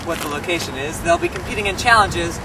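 A man's voice: high voice, big pitch swings, fast talker. Young man hosting a mock game show. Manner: upbeat, announcer-like, hyping contestants. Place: outdoors in a parking lot. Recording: distant camera mic, loud outdoor noise.

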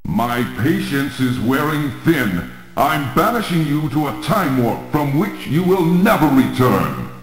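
An actor voicing a menacing, gloating villain monster in a 1990s arcade game, speaking very deep and slow. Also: male voice, sing-song, faint music behind, poor audio.

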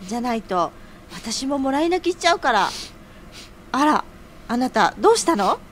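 Woman acting a high-pitched teasing lady. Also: very animated, moderate pace, a bit bright, slight background noise. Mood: teasing, tender. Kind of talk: scripted radio drama.

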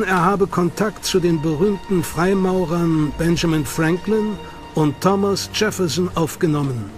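A man narrating a documentary in a measured, dramatic manner. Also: high-pitched. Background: music.

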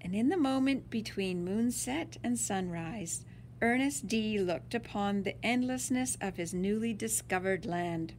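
Woman, storybook read-aloud for kids: animated, dramatic word emphasis, slow pacing, faint noise behind. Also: medium pitch. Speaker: teacher reading to children.

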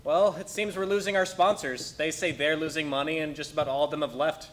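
A man's voice: a little high, fairly even intonation, a little fast, a bit bright, close to an everyday voice. A young man acting on a school stage as an apologetic, evasive older radio producer who speaks hesitantly. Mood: resigned. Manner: hesitant, stammering.

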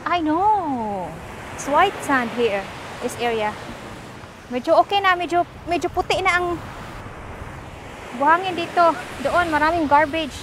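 A woman's voice: high-pitched, expressive, unhurried, long pauses. A woman in her forties chatting casually into a phone held at arm's length while walking on a windy beach, switching between languages. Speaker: vlogger. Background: wind and surf.

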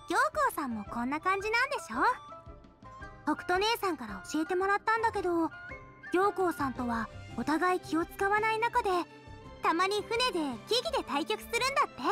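A bubbly teenage cook in an anime game. The voice is very high and girlish, talking frankly and casually over faint music. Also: very animated, normal speed. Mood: reassuring, frank. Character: cheerful, sincere teenage girl cook. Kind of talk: Japanese anime game voice acting.

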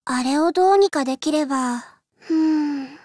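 A gentle young Japanese heroine from a video game, voiced by a woman. Her voice is very high and girlish, with a soft, polite way of speaking in short reactive lines. The recording is close and dry. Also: normal speed, dry recording, lightly acted. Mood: curious, cautious.

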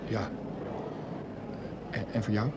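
An actor playing an uncertain, wry rookie security agent in an old Dutch radio play. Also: male voice, slow, close to an everyday voice. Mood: wry, uneasy. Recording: old radio recording, loud background noise.

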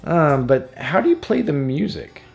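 A man's voice: a little high, big pitch swings, normal speed, gravelly. A man narrating a scripted electronics tutorial in a playful, jokey manner. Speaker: host of an electronics DIY tutorial. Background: background music.

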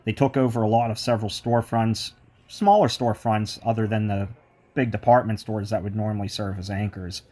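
Man, slightly low voice, eager and chatty as a mall tour guide. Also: steady tone, brisk, a little mellow. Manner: enthusiastic, chatty asides. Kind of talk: walking tour narration with history. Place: indoor shopping mall.

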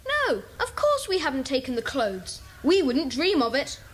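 High, confident British schoolboy. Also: female voice, wildly swooping pitch, moderate pace, a bit bright, full character voice. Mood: assured. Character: polite, confident schoolboy leader.

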